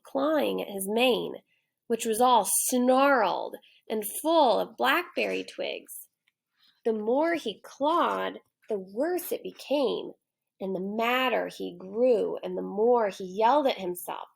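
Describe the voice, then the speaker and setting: Female teacher narrator for children's read-aloud: unhurried, fairly high, with noticeable creak. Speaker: third grade teacher.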